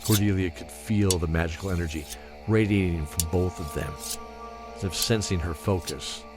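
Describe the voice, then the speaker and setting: Deep-voiced man narrating fantasy. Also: moderate pace, over music. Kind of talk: scripted fantasy storytelling narration.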